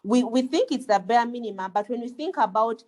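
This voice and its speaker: A woman from Uganda, a lawyer, speaking earnestly on a webinar panel, pausing often with fillers and restarts. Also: a little high, lively intonation, darkish tone. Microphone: video call.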